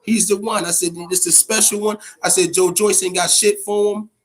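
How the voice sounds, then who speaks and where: A man's voice: high voice, flat delivery, a little fast. A man with an American accent hosting a casual boxing chat show, giving opinions in profane, slangy language and repeating phrases for emphasis.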